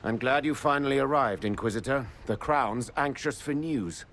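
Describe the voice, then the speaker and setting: A man's voice: medium pitch, normal speed, a bit bright, close to an everyday voice. A man playing a stern but courteous noble envoy in a video game, formal and diplomatic. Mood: firm. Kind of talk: dramatic video game dialogue.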